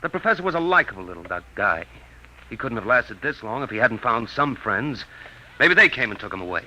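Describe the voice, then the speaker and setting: A man's voice: mid-range voice, very animated, a little fast, sharp tone, lo-fi sound. A man playing an earnest, worried American aide in an old radio thriller, talking in a colloquial way. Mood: worried, subdued. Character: anxious, earnest younger aide to a major. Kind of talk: 1950s radio drama acting. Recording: very noisy old radio recording.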